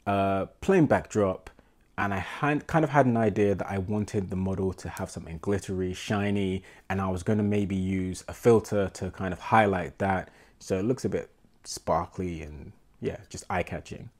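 A man in his thirties with a London accent, a portrait photographer vlogging to camera in a small home room, congested with a cold, talking in a relaxed, rambling, self-deprecating way. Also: low voice, moderate pace. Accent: London English. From England. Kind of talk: casual talking-to-camera vlog.

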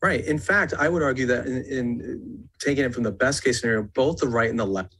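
Male podcast panelist, mid-pitched and husky, measured, explanatory conversation. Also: a bit bright. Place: indoor room, remote podcast call.

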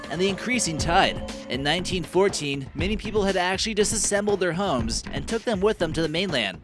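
Man narrating a countdown over music. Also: high-pitched. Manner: casual, lightly wry asides. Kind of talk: scripted narration.

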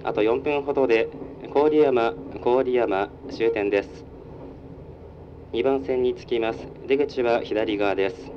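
A man working as a train conductor makes formal, polite onboard announcements over a public address speaker. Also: takes it slowly. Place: inside a moving train. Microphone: recorded from the carriage speaker. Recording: muffled train PA, rumbling vehicle noise. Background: train running noise.

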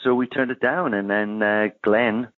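A man with a Geordie English accent talks as a guest chef, easygoing and chatty with frequent "uh" pauses and ready laughs. His voice sits on the low side. It comes through a thin, tinny phone line with no room echo. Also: fairly even intonation. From northeast England. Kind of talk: casual interview chat.

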